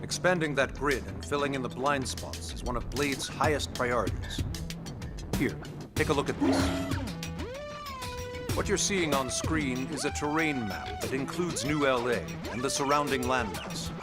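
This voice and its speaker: A man playing a wry, formal, gently pushy government secretary in a video game cutscene, courteous and smug. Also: mid-range voice, moderate pace, lightly acted. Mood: businesslike. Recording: loud music behind the voice.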